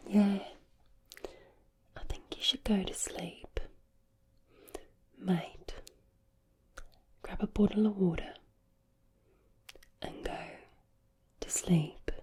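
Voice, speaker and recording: A woman voicing a laid-back, matey Australian with a put-on Australian accent, in a soothing breathy ASMR whisper. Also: mid-range voice, fairly even intonation, takes it slowly, long pauses, dark tone, natural voice.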